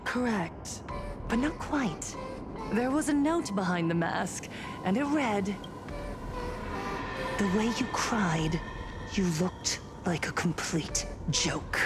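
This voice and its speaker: Slow, wounded young woman. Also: medium pitch, expressive. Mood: bitter, teasing. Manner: deliberate, dramatic. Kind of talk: dramatic game storytelling. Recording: music clearly under the voice.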